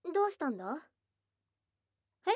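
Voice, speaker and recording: High-voiced tomboyish goblin girl, acted by a woman. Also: very animated, normal speed, no echo, studio quality. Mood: hesitant, pleased. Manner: casual tomboyish speech, soft and hesitant. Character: shy, tsundere young goblin girl, lonely and affectionate. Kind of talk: Japanese ASMR situation voice drama. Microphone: close studio mic, dry.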